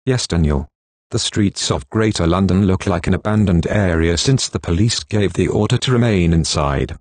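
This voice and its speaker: A man playing a news correspondent and official announcer, reading scripted reports and warnings in a formal, measured manner. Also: low-pitched, darkish tone, no room sound. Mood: formal, urgent.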